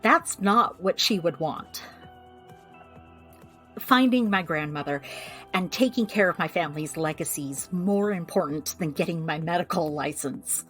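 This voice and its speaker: A woman's voice: on the low side, measured pace, a bit bright, soft background music. An actress playing a chatty, wry young American woman who runs a magical family clinic, in an audio drama. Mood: reflective, fond. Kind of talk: audio drama, recording a personal log.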